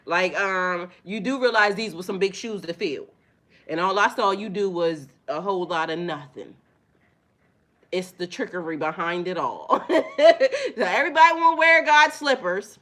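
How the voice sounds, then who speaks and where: A woman's voice: medium pitch, moderate pace. A woman hosting a spiritual reading vlog, talking straight to her viewers in a blunt, profane, chatty way and laughing often. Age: adult with children. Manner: loud, animated delivery. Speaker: spiritual reader and channel host.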